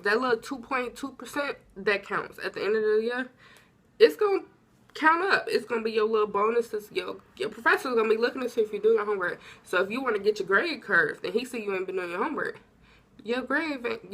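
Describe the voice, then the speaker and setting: A woman's voice: on the high side, lively intonation, brisk, fairly bright tone. Chatty young woman with a stuffy nose. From Illinois. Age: young adult. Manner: chatty, informal, drifts off topic. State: stuffy, congested voice. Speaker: vlogger. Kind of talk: casual advice talk to camera.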